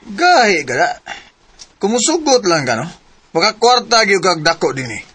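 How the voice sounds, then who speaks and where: A pushy, lecherous, bright-voiced customer. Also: male voice, sits high, sing-song, normal speed, husky, heavily acted. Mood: leering, pushy. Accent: Cebuano Filipino. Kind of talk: Filipino radio drama acting.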